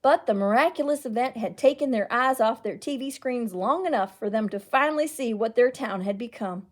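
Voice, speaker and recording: A woman reading a picture book aloud as a storyteller, with expressive, emphatic delivery. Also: on the high side, brisk. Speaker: children's librarian storyteller.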